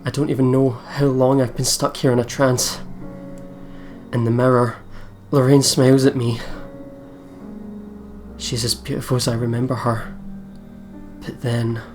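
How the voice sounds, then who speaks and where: A woman's voice: rumbling low, level tone, measured pace, long pauses, darkish tone. Woman reading a visual novel aloud. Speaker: let's play host. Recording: game music behind.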